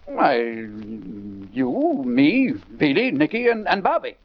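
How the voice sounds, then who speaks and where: Animated older police dad. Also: male voice, mid-range voice, slow, full character voice. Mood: puzzled, insistent. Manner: plain-spoken, colloquial. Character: gruff older police father, plain-spoken. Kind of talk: 1940s radio acting. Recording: muffled 1940s radio recording.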